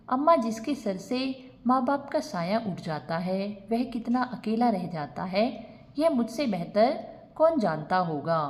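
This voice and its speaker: A woman voicing a kind, lonely young man in a storybook tale, earnest and gently pleading. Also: a little high. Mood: tender, reassuring. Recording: long reverb on the voice.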